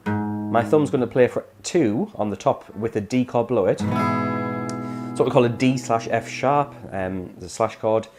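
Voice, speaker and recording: A man, hoarse from a cold, explaining guitar chords step by step in a casual tutorial. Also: mid-range voice, moderate pace. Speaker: guitar teacher.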